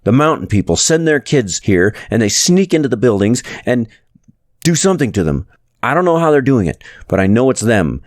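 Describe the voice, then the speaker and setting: A man's voice: medium pitch, sing-song, brisk, dry recording, clean studio sound, pitched above the performer's own voice, natural voice. A man voicing an angry, stubborn city workman in an audiobook story, accusing and scornful.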